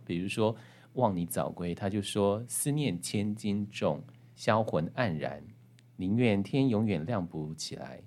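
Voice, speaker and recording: Male podcast interviewer, very low register, Taiwanese Mandarin accent, talking conversationally with a guest. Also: a little mellow.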